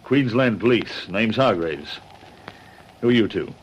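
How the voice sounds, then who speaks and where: An actor playing a stern, wary Australian police constable who questions deliberately in a radio detective drama. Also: male voice, fairly low pitch, animated, highs cut off, a little hiss or hum. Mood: stern, probing.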